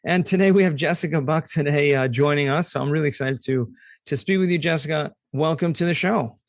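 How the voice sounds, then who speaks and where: A man with a slightly hoarse voice, hosting a conversational podcast interview and thinking aloud as he goes. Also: on the high side, fairly quick, no echo, studio quality. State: a little hoarse. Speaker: podcast host.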